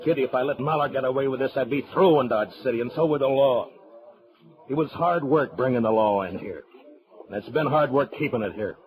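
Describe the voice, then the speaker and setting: A man's voice: medium pitch, fairly quick, highs cut off, close to an everyday voice. A man with an American accent playing a grim, stubborn middle-aged marshal in a radio western, terse and authoritative. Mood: firm. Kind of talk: mid-century radio western acting.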